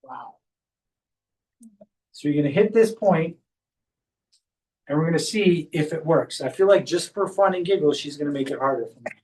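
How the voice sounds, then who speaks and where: A high-pitched man talks a small group through a hands-on demonstration at a clinic workshop, explaining each step as he goes. His voice is picked up from some distance, with the room around it. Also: normal speed, pauses often, mellow. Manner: explains step by step while guiding a volunteer. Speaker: chiropractor leading a workshop.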